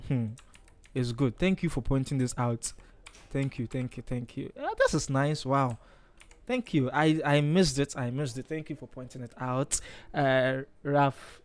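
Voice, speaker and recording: A man's voice: mid-range voice, a little mellow. Ghanaian male host, casual thinking-aloud delivery, mid-range voice, typing audible in background. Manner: chatty, thinks aloud, frequent okays. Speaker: livestream host, designer. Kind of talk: casual live design tutorial.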